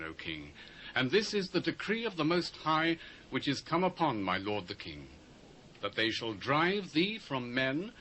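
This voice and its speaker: An earnest prophet gives reverent counsel in a scriptural audio drama. The voice is forceful and bright, rising and falling widely in fervent declamation, over faint tape hiss. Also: male voice, mid-range voice, rough recording. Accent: British. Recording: faint hiss of old cassette.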